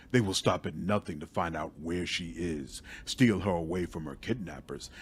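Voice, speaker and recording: A man voicing a composed, calculating otherworldly male mentor in a fantasy game, speaking formally and deliberately. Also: low voice, breathy edge.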